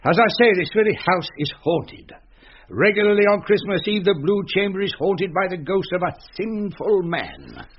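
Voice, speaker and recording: An actor playing a pompous, verbose, easily irritated gentleman host who tells a ghost tale in period radio comedy style. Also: high-pitched, sing-song, normal speed, husky, muffled recording, full character voice. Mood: mock-solemn, testy.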